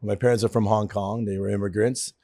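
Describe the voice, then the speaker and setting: A Canadian man in his fifties, a company founder telling his story as a guest in a casual interview, animated and quick to laugh. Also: on the low side, no echo, clean studio sound.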